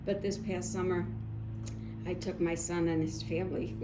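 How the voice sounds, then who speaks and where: Friendly italy-born woman in her 70s, lowish voice, echoey noisy room. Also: level tone, normal speed. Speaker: workshop participant. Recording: echoey room, background noise.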